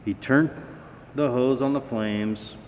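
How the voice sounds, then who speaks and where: A man reading a children's story aloud with dramatic, expressive storybook narration. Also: slow. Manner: dramatic, acting out sounds and dialogue. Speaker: fire battalion chief reading a children's story. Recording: echoey hall, dull sound, faint rumbling noise.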